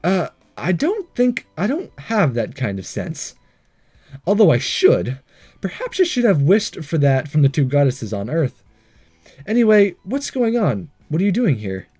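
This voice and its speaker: Man, animated pitch, soft mellow tone, game narration, casual wisecracks, light music underneath. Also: a little slow, slightly rough. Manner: jokey asides, stumbles while reading. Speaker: host of a game playthrough. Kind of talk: reading visual novel text aloud with casual commentary.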